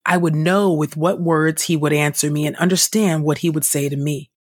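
A woman reading scripture aloud for a devotional podcast in a measured, deliberate, formal way. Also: low-pitched, steady tone, breathy edge, dry recording. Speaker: scripture reader and podcast host.

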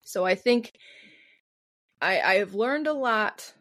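A woman's voice: medium pitch, expressive, takes it slowly, a bit bright. A woman with a Midwestern American accent talks in a mid-range voice as a podcast co-host. She tells stories casually and playfully, with a giggly manner and a habit of acting out quotes. The sound is dry, with little room echo. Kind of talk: casual comedic history storytelling.

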